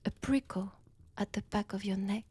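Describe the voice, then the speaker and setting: A woman with a French accent playing a seductive, predatory vampire in an intimate audio roleplay, breathy and teasing, often dropping toward a whisper. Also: mid-range voice, dark tone, dry recording. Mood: gloating, teasing. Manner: slow, teasing, menacing, deliberate pauses. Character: seductive, predatory female vampire toying with her captive.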